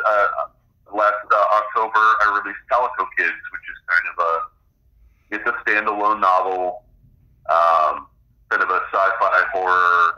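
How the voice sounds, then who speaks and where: A man's voice: lowish voice, pushed and bright, low-quality recording. A middle-aged man explaining his own creative work as a guest in a casual interview. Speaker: interview guest, game designer and author.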